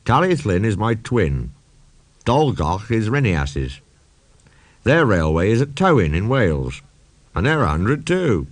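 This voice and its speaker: A man's voice: on the low side, sing-song, unhurried, dry recording. A man voicing a proud, indignant old male steam engine who tells grumbling, emphatic stories in a children's audiobook. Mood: reminiscing.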